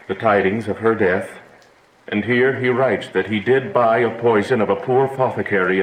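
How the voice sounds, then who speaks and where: An actor playing a stern, commanding prince in a Shakespearean audio drama, declaiming formally and with authority. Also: male voice, on the low side, close to an everyday voice. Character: stern commanding prince judging feuding families. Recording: echoey room, audible background hiss.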